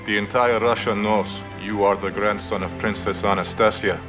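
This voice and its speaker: A man's voice: fairly low pitch, fairly even intonation, normal speed, close to an everyday voice. An actor playing a stern man in an action thriller film. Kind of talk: film acting. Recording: film clip with clear music. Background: music.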